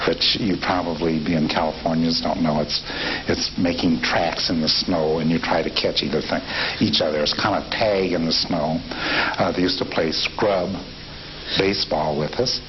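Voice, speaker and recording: A man's voice: low voice, unhurried. A man in his fifties from Nebraska, recalling his childhood in a reflective interview, with frequent fillers and restarts. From Nebraska, USA. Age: 50s. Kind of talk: relaxed oral history interview. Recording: dull lo-fi tape with steady hiss.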